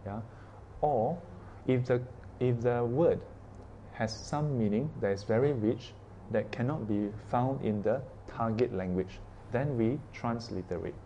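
A man's voice: medium pitch, measured pace, warm tone, dull audio, a little hiss or hum. A man teaching an informal Buddhist class, chatty and asking his listeners questions, often tagging sentences with "yeah" and "ah". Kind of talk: informal dharma class talk.

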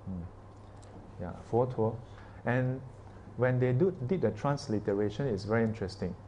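A man's voice: mid-range voice, moderate pace, warm tone, dull audio, a little hiss or hum. Chatty man teaching a class. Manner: chatty, asks the class questions, frequent "yeah" and "ah". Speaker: Buddhist teacher. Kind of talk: informal dharma class talk.

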